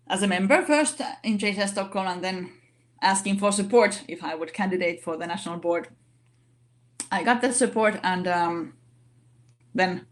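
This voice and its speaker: A woman speaking English as a non-native language, giving an online session talk in a halting way with many fillers and restarts. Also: lowish voice. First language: not English. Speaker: organization vice president giving a talk.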